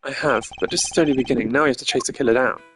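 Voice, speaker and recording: A man's voice: medium pitch, rapid-fire, a bit bright, lightly acted. A man voicing a stern, cerebral investigator in a video game, speaking formally and precisely with dry sarcasm. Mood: measured, analytical. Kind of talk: hobby voice acting of a video game. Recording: music behind the voice.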